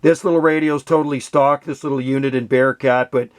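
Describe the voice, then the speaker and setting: A man's voice: medium pitch, fairly even intonation, fast talker. A man in his sixties or older having a casual CB radio chat, folksy and full of radio slang. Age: 60s or older. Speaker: radio hobbyist, channel host.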